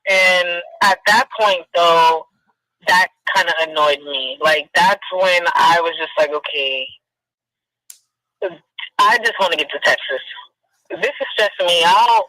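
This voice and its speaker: Woman caller, exasperated casual storyteller, bright forward tone, dry thin call audio. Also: fairly low pitch, unhurried, pauses often, no echo, poor audio. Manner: exasperated, repeats herself for emphasis. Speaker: caller telling her personal story.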